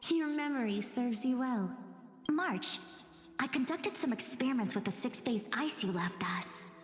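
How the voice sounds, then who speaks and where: A woman voicing a composed, dignified female mystic guide in an anime game, formal and measured. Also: high voice, lively intonation. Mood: composed, courteous. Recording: echoing reverb, game music behind.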